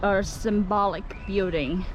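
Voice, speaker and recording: A woman's voice: medium pitch, fairly even intonation, unhurried, soft background music. A young woman from southern China with a Cantonese accent, chatting casually as a travel vlogger outdoors in a city and musing with fillers. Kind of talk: casual travel vlog narration. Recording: outdoor street noise with traffic.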